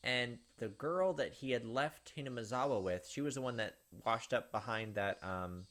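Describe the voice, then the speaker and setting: A man's voice: mid-range voice, moderate pace, a bit bright. A man hosting a gaming video, reading visual novel narration and commenting casually.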